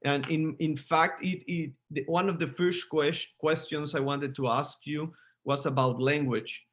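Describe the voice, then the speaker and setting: Guy interviewing, stumbles and repeats a lot, kinda high voice. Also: fairly even intonation, a little slow. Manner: stumbling, repeats words while searching for phrasing. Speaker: interviewer, host. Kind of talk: conversational interview.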